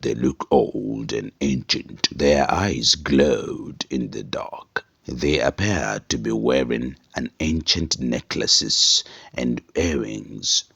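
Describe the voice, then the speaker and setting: A man narrating a folktale as a storyteller in a simple, unhurried oral style. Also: a bass voice, vocal fry, fairly bright tone, slightly rough, no room sound.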